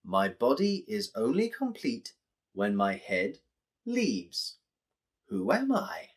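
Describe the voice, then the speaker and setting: A man voicing a sly, smug Victorian fairground bookmaker in a children's story, with a deliberate, riddling delivery. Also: mid-range voice, wildly swooping pitch. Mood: smug, triumphant.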